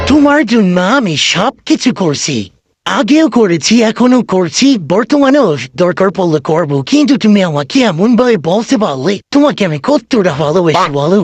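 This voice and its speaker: A woman's voice: mid-range voice, very animated, moderate pace, no echo. A woman voicing a wounded, whiny old grandfather, a devoted henpecked husband complaining indignantly in a comic cartoon skit. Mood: hurt, indignant. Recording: noisy amateur recording with clicks.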